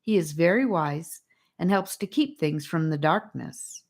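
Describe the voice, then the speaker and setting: A woman's voice: low-pitched, lively intonation, unhurried, exaggerated voice. A woman voicing a bubbly, theatrical little girl who talks in a sing-song, dramatic way. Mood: theatrical, giddy.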